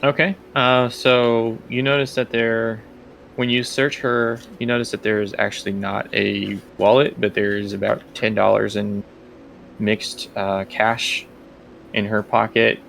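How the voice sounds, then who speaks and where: A man's voice: fairly low pitch, little pitch movement, measured pace, creaky, a bit bright, slight background noise. A man working as game master, narrating casually and improvising as he goes, often thinking aloud.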